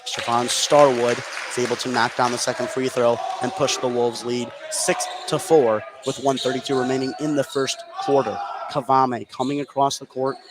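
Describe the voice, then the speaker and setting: An American man doing live radio basketball play-by-play, getting excited on big plays. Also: medium pitch, background noise. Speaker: play-by-play announcer.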